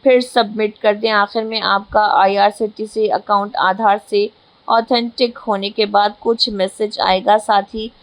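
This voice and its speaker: A woman's voice: medium pitch, flat delivery, a little slow, poor audio. Female newsreader, middle register, formal scripted bulletin delivery, slightly stumbling here and there. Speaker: news presenter. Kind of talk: scripted news narration.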